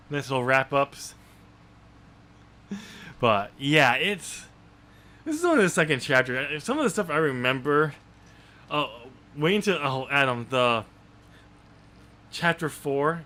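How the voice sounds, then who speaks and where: A man's voice: mid-range voice, sharp tone. A man co-hosting a podcast as an author, discussing a book casually in a rambling way. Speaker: podcast co-host and novel author.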